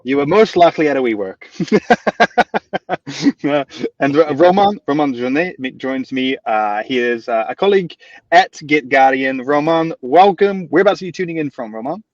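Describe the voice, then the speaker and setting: A man's voice: on the high side, animated, normal speed, dry recording, recorded from a distance, highs cut off. A man from New Zealand with a New Zealand accent, hosting a live webinar in an upbeat, chatty way with plenty of fillers and restarts. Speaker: webinar host.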